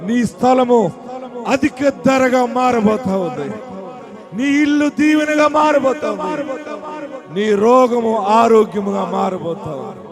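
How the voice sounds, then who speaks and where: High-voiced man preaching fervently. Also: lively intonation, a little slow, long reverb tail. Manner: impassioned, repetitive proclaiming, swinging from hushed to loud. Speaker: pastor. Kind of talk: fervent sermon with prayer.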